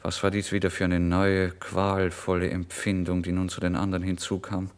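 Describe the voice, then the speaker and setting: A man narrating a literary first-person story in a brooding, expressive way, moving between hushed and emphatic delivery. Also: low-pitched, normal speed, clean tone. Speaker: audiobook narrator.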